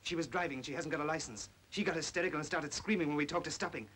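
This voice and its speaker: An actor as a suave upper-class English schemer, hissy. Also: male voice, on the high side, rapid-fire, fairly bright tone, close to an everyday voice. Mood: pressing, cynical. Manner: suave, persuasive. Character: smooth, manipulative well-off English captain, fond of drink. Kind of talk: 1940s British film drama acting. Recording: hissy old film soundtrack.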